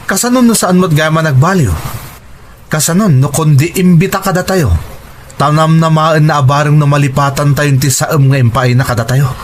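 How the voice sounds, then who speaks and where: A man's voice: fairly high pitch, moderate pace, slight background noise, lightly acted. A man playing a resentful, hurt young adult son in a radio drama, indignant and questioning, with an Ilocano accent. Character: resentful, wounded grown son, unwilling to forgive.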